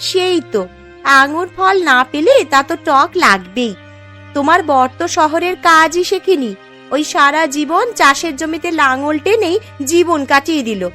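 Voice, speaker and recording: A woman's voice: high voice, expressive, moderate pace, sharp tone, soft background music. A woman voicing a snide, boastful, nosy village housewife in a cartoon, taunting as she talks. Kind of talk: cartoon story dialogue.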